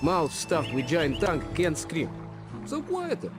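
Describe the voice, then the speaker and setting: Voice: medium pitch, huge pitch swings, unhurried. An actor voicing a blunt, menacing young wrestler in a cartoon video game, with a Russian accent and clipped broken English. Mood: deadpan menace. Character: blunt menacing foreign boy wrestler at summer camp. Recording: loud game music behind.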